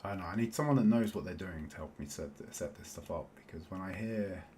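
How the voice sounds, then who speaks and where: A man with a soft South London accent talks in a rambling, easygoing way while he games. His voice sits a little low, and he laughs often between thoughts. Faint noise hums behind him. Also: fast. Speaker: Minecraft let's-play host. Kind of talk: casual chat while playing.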